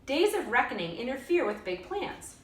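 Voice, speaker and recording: A woman teacher reading a story aloud in an expressive storytelling style. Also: high-pitched, normal speed. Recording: roomy echo, distant mic.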